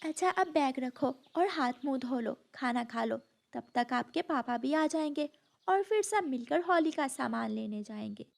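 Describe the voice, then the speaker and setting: A woman's voice: sits high, lively intonation, normal speed, creaky. A woman voicing a doting, indulgent bird mother in a cartoon, motherly and reasoning. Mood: reassuring.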